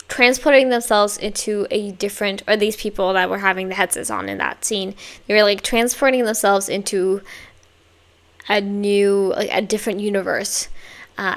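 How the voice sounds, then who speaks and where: A woman's voice: medium pitch, a bit bright, smooth. A young woman hosting a reaction video, sounding tired with a slightly worn voice, rambling casually with many fillers and restarts.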